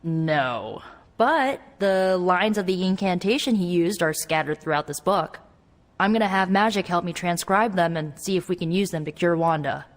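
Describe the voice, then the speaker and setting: Animated low-voiced young sorceress, played by a woman. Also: normal speed, lightly acted. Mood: determined. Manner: casual, colloquial, storytelling. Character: eager young woman sorceress, casual, stubborn. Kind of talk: video game cutscene acting. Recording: long reverb, music behind. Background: music.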